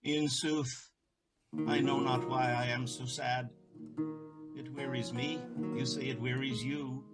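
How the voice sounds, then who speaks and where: A man plays an elderly Venetian merchant, melancholy and dignified, in a Shakespearean audio play. He speaks slowly at a middle pitch, solemn and formal. Loud plucked lute music plays behind him. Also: little pitch movement, lightly acted, poor audio. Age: older. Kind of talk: Shakespearean audio drama acting.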